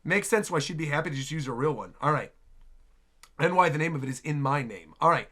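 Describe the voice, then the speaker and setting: A man's voice: on the high side, expressive, fast, sharp tone. A man hosting a reaction stream, giving casual live commentary and rambling as he thinks aloud.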